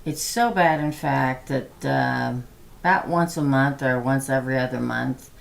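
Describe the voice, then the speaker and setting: A hoarse woman who is losing her voice, hosting a livestream reading and chatting softly and slowly. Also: rumbling low. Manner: soft-spoken, slow and chatty. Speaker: tarot reader hosting a livestream. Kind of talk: casual livestream chat.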